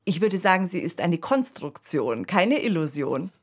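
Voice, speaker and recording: A woman's voice: on the low side, very animated, moderate pace, breathy edge. A woman co-hosting a podcast in an easy conversational style. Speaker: podcast co-host.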